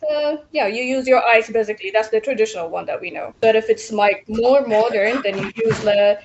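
A young woman from Ethiopia, a civil engineer answering radio interview questions with many pauses and fillers. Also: fairly high pitch, muffled recording, background noise.

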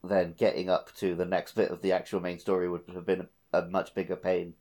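A man with a low-pitched voice talks as a podcast co-host. His delivery is casual and conversational, like chatting through a video game playthrough with a fellow player. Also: little pitch movement, brisk, darkish tone.

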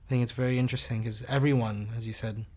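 A young man from the United States, speaking in an interview in a reflective, measured way, stretching out key phrases. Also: mid-range voice, steady tone, darkish tone. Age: young adult. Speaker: guest, student organiser. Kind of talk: conversational interview.

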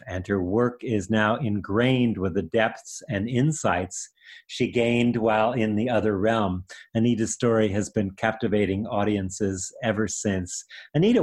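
Measured low-voiced male host. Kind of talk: radio interview.

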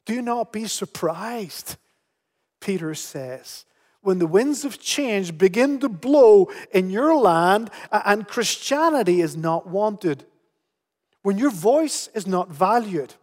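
A man's voice: sits high, animated, slow pace. A middle-aged man preaching a sermon in earnest, often repeating words as he starts a phrase. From Spain. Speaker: pastor. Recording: long hall reverb.

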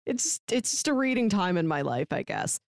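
A woman's voice: mid-range voice, very animated, moderate pace, no echo, clean studio sound. A woman hosting a podcast in a casual, chatty style. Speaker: podcast host.

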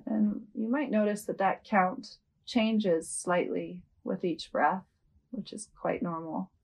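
A woman leading a guided yoga nidra meditation as a host, speaking gently and without hurry, with long pauses. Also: mid-range voice, slightly rough.

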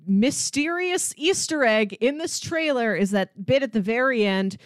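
A woman co-hosting a casual pop culture podcast, talking like an excitable, gushing fan. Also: a little high, a little slow, no room sound, clean studio sound. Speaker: podcast co-host.

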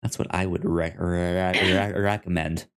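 A man's voice: deep voice, little pitch movement, moderate pace, clean tone. A man co-hosting a film podcast, talking casually and off the cuff, stammering and repeating the starts of words. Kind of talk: casual, unscripted recommendations.

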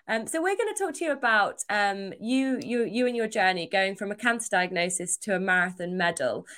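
Upbeat woman hosting a podcast. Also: medium pitch. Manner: upbeat and enthusiastic, warm interviewer. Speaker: podcast host. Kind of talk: podcast hosting and casual chat.

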